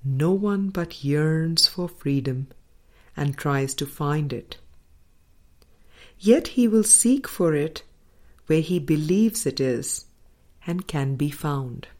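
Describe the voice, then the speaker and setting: A low-voiced woman reads a spiritual audiobook at an unhurried pace. Her phrasing is deliberate and measured, with frequent pauses between short phrases. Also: expressive. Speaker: audiobook reader. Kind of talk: scripted spiritual audiobook narration.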